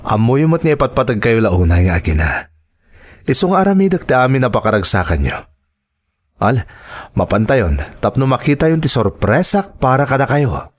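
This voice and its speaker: A man's voice: mid-range voice, sing-song, fairly quick. A man playing a devoted, reassuring husband and father in an Ilocano drama, speaking earnestly. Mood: affectionate, playful. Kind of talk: Ilocano radio-style drama acting.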